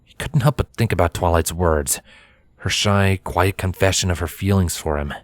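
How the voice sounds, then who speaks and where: Low-voiced man narrating a story. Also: moderate pace, dry recording. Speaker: narrator, fan fiction reader.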